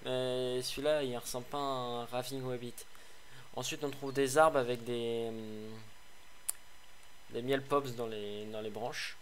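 Male game reviewer, slightly hoarse, rambling and sarcastic, mid-pitched, light background noise. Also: steady tone, measured pace. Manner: chatty, rambling, many fillers and restarts; sarcastic asides. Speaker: gaming video host. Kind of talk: casual game review commentary.

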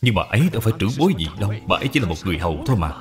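A man's voice: on the low side, expressive, rapid-fire, soft background music, close to an everyday voice. A man voicing a proud, hospitable general and father in a cartoon dub, with a formal manner. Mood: dismissive.

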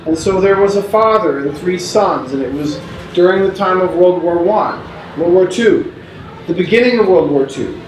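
A man's voice: high voice, a little hiss or hum. Man reading at a distance, roomy. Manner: measured, unhurried reading with halting restarts. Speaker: performer reading interview notes. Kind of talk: reading recorded interview answers aloud. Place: small indoor gallery room with hanging paper strips. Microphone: far camera mic. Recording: roomy distant sound with faint ambience.

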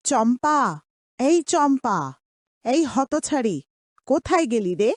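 A woman's voice: high-pitched, expressive, slow, a little mellow, dry recording, studio quality. Woman playing a harsh, swooping, scolding cartoon aunt. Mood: demanding. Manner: harsh, sarcastic, nagging. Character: cruel, scolding aunt bullying her orphaned niece. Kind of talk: cartoon drama voice acting.

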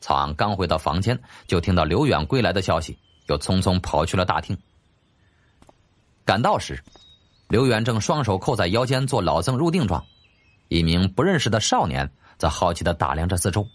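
A man reading a novel as scripted audiobook narration in an animated, dramatic way. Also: sits low, normal speed.

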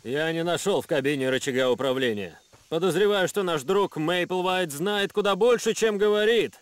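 Man acting a fairly high-voiced steady leader. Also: normal speed, dry recording, lightly acted. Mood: measured, resolute. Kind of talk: animated adventure series dubbing.

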